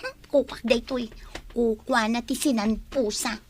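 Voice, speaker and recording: A woman's voice: on the high side, fairly even intonation, a little slow, a little hiss or hum, lightly acted. A woman playing a spoiled, greedy, haughty young daughter in a radio drama, whiny and bossy. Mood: scheming.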